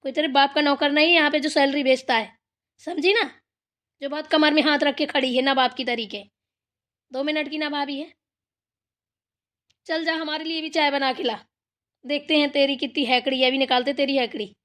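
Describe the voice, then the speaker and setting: A woman acts the stern matriarch of a cartoon village household. Her voice is high for an older woman. She scolds bluntly, hands out orders and threatens anyone who disobeys. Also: steady tone, moderate pace, pauses often, a bit bright. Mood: scolding. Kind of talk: cartoon family drama dialogue.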